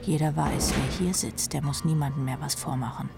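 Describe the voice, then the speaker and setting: Low, gravelly, sardonic rock drummer woman. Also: steady tone, normal speed. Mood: sardonic, murmuring. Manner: sardonic, wry, confiding, often murmured. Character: jaded, sardonic female rock drummer, chain-smoking. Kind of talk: radio drama inner monologue. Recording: music behind the voice.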